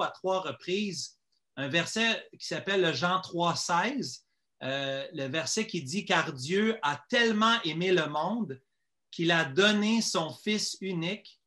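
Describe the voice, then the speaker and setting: A man's voice: on the high side, bright, forceful tone. A man with a Quebec French accent, a guest telling a personal story in a hesitant, conversational way. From Quebec, Canada. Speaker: interview guest giving a testimony.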